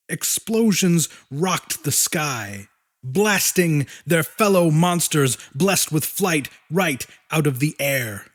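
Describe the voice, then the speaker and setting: Slow, animated male audiobook narrator. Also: fairly high pitch. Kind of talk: dramatic scripted fiction narration.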